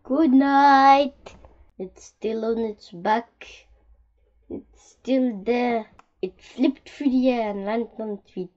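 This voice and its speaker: Female storybook reader: deliberate, slow, very expressive delivery for young children, slightly lo-fi sound. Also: fairly high pitch. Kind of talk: children's picture-book read-aloud.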